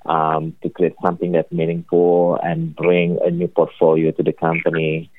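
A man's voice: low-pitched, completely flat delivery, normal speed, dry recording. A man from Indonesia with an Indonesian accent, a company executive answering interview questions earnestly with many fillers. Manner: earnest, filler-heavy, upbeat. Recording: tinny phone-line audio.

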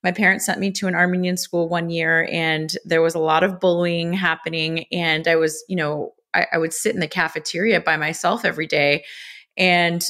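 A woman's voice: fairly low pitch, steady tone, moderate pace, fairly bright tone. A woman in her thirties from Los Angeles, a cookbook author and food blogger talking candidly as a guest in a podcast interview. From Los Angeles, USA. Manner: candid, open, chatty with small restarts.

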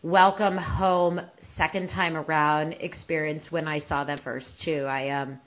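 A woman's voice: low voice, steady tone, measured pace, lo-fi sound. A woman in her forties from the San Francisco Bay Area, hosting a podcast in a loose, rambling chat full of fillers and restarts. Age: 40s. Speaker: podcast host.

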